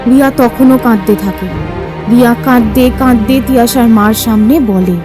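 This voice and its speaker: High-voiced woman narrating over music. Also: normal speed. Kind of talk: scripted story narration. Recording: music clearly under the voice.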